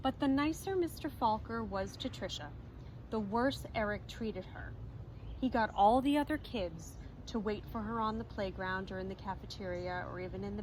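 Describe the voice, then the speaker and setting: A woman reading a storybook aloud expressively in a teacherly manner, pausing to explain and stress the moral. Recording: clearly noisy recording with ambient rumble.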